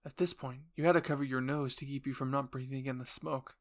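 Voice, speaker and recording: A man's voice: medium pitch, fairly even intonation, fast talker. A man narrating an audio roleplay story in the second person with an earnest, theatrical delivery.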